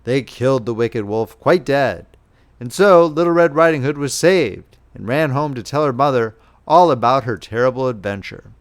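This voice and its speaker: Expressive male storyteller, very varied pitch. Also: normal speed. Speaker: audiobook narrator. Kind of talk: scripted fairy tale narration, expressive storytelling.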